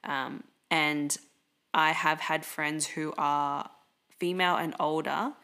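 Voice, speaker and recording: Low-pitched woman, reflective chat. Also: steady tone, takes it slowly, bright, forceful tone. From Australia. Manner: thoughtful, reflective, filler-heavy. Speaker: podcast co-host. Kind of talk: casual conversation.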